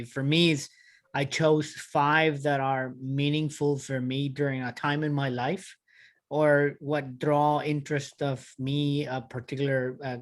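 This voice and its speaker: A man from Mexico, a guest on a movie podcast, speaking in a polite, careful way. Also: medium pitch.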